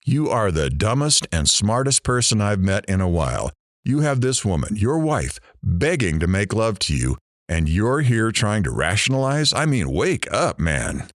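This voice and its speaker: A man's voice: fairly low pitch, sing-song, moderate pace, no echo, studio quality. A man playing a brash, crude and nosy radio talk show host in an audio drama, teasing and showy. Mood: mocking.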